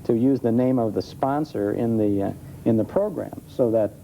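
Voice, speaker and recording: An American man chats as an interview guest, explaining things in a relaxed way. His tone is very dark and rounded, at a middling pitch. A faint noise runs through the vintage recording. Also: moderate pace. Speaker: guest expert on old-time radio. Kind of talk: casual explanatory interview chat.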